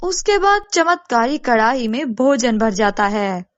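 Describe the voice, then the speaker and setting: A woman storyteller delivers scripted narration for children at a slow pace. The recording is dry, with a slightly muffled top end. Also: on the high side, sing-song, no echo.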